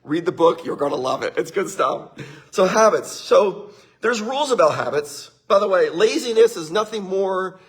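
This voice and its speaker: Upbeat middle-aged male motivational speaker, husky and fairly high for a man, live-stream feel. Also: lively intonation, moderate pace. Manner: upbeat, greets viewers by name, laughs often.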